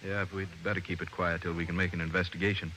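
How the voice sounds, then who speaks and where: A man's voice: deep voice, little pitch movement, very fast, bright, forceful tone, slight background noise, poor audio. An actor playing a folksy, matter-of-fact male local sheriff in an old radio detective drama. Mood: brisk, businesslike.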